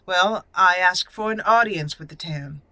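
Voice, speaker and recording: A woman's voice: lowish voice, a little slow, pushed and bright, no echo. A woman voicing a proud, formal young noblewoman mage in a roleplayed fantasy game, with a stately, haughty manner.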